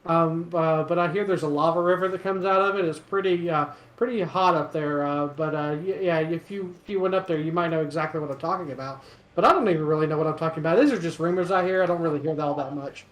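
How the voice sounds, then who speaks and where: A man's voice: a little high, fairly even intonation, fast, natural voice. Man playing a rambling townsperson gossip, roomy sound. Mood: rambling, unsure. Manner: rambling, repetitive, hesitant, self-contradicting. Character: rambling, gossipy male townsperson in a fantasy city.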